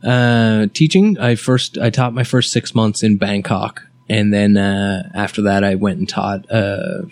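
Low gravelly young man, halting chat. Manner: relaxed, halting with many fillers. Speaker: interview guest. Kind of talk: casual chat.

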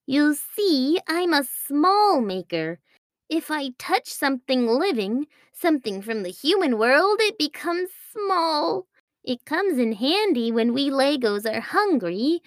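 A woman's voice: high voice, big pitch swings, slow pace, no echo, clean studio sound, pitched above the performer's own voice, heavily acted. A woman voicing a small, anxious, apologetic male toy man in a children's story, with a fretful, pleading manner. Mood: worried, explaining.

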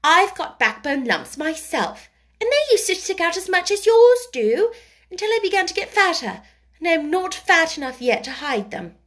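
A woman's voice: extremely high pitch, big pitch swings, moderate pace, a bit bright, voice pushed up, full character voice. A woman voicing a savage, bossy little girl in an audiobook story, scolding and commanding with great emphasis. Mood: stern, cross.

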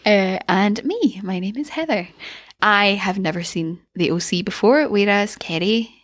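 A woman's voice: mid-range voice, a little slow. A woman co-hosting a casual TV rewatch podcast, chatty and playful as she recaps episodes. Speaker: podcast co-host.

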